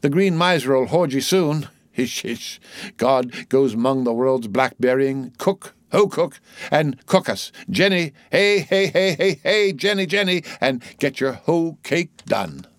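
A man's voice: medium pitch, steady tone, slow, dry recording, lightly acted. A man voicing a crazed ship's boy who chants eerie nonsense in a repetitive singsong, in a storybook reading. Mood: frenzied singsong.

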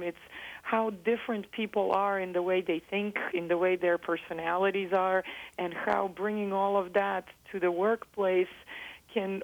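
A woman from Serbia with a Serbian accent, a business psychologist answering interview questions in a measured, articulate way. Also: mid-range voice, narrow, tinny audio.

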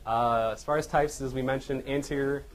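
A man presenting radiology cases as a teaching lecture, halting and often correcting himself, with questions to his listeners. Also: medium pitch, fairly even intonation. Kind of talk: medical case lecture with questions to the audience. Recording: noisy live lecture room recording.

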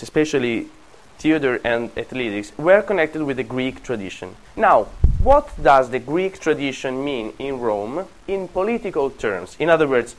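A man's voice: mid-range voice, rough recording. A man with an Italian accent lecturing a class, digressing often, correcting himself and joking with students. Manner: digressive, self-correcting, jokey asides to students. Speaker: university lecturer.

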